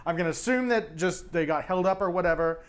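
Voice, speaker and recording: High-voiced man recapping a game. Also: quick pace. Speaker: player in a tabletop game podcast. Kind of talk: casual, improvised story recap.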